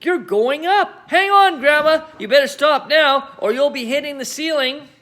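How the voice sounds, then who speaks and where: A man's voice: very high voice, moderate pace, pushed and bright, pitched above the performer's own voice. A man voicing an eager, cheeky young boy in a children's storybook, chirpy and exclamatory. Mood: alarmed.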